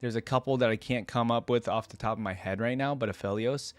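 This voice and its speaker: A man's voice: mid-range voice, fast talker, no room sound. A man giving casual, conversational reaction commentary in an informal, enthusiastic way. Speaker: reaction video host. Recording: music playing behind the voice. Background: song being reacted to.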